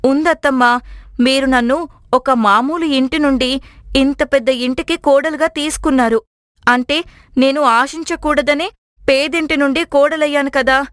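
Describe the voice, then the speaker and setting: Young frugal daughter-in-law acted by a woman, high voice, very dry sound, earnest and preachy, for an animated family tale. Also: moderate pace, no echo. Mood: earnest, insistent.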